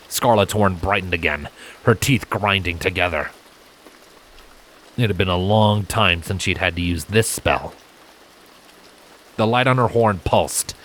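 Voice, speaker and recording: A man's voice: low voice, a bit bright, slight background noise. A man narrating a fantasy story in a dramatic, scripted storytelling style. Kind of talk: dramatic fan fiction narration. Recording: rain sound effects behind voice. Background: rain ambience.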